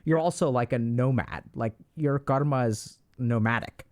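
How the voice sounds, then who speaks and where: A male host with a mid-range voice, speaking in a direct, confident way. He thinks out loud and lays out his points plainly for his guest. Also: medium pitch, normal speed. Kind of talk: casual conversation.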